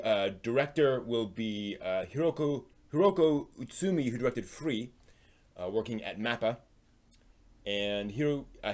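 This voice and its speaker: Man, lowish pitch, live stream host, informal news commentary, halting delivery, trailing phrase endings. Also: a little mellow.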